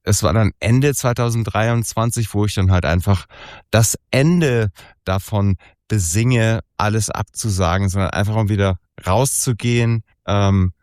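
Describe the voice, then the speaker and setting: A man in his forties, a musician talking casually in an interview, relaxed, with lots of fillers and easy laughs. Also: fairly low pitch, measured pace, smooth, no echo, studio quality. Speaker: musician guest.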